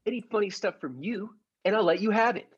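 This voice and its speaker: A man's voice: sits high, fairly quick, slightly rough, dull audio. A man playing a meek daydreaming clerk who puts on a swaggering movie gangster, in a comic radio play. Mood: menacing swagger. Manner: stammering when meek, clipped gangster swagger when posing. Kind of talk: improv podcast performance of classic radio comedy play.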